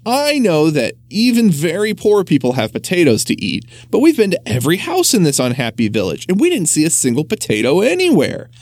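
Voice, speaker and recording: A clever young woman traveler from a storybook, quick to scold and coax, as a reader puts her on. Her voice sits high with animated swings, recorded dry and close. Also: no echo. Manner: scolding, coaxing.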